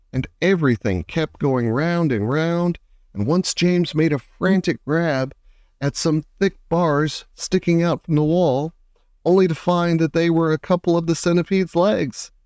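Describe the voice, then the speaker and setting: A man reading a children's storybook aloud as an expressive narrator. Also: a little high, measured pace, clear, resonant tone, no echo, studio quality. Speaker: audiobook reader.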